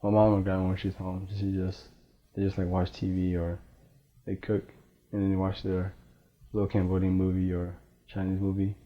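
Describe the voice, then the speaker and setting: A man's voice: low-pitched, completely flat delivery, moderate pace. A young man telling his own story in a casual, loose way, leaning on "like" a lot. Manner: loose, heavy use of "like". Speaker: interviewee. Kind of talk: casual personal account. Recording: dull lo-fi sound.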